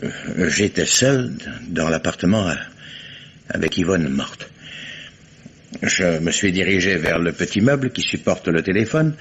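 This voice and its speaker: An actor playing a proud, grieving lawyer under police questioning, formal and hesitant, in a 1950s radio drama. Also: male voice, deep voice, expressive, measured pace, a bit bright, husky, exaggerated voice. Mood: grim recounting. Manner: formal, hesitant with many fillers, flares into indignation. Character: proud grieving male lawyer, indignant suspect. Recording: muffled old radio broadcast.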